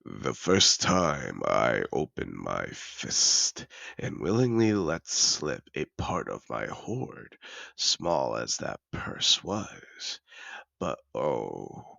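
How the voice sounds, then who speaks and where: A man's voice: rumbling low, gravelly, bright, forceful tone, no echo, exaggerated voice. A man playing a suave, possessive, wealthy dragon in human disguise, telling his story in a refined, theatrical manner in a scripted fantasy audio roleplay.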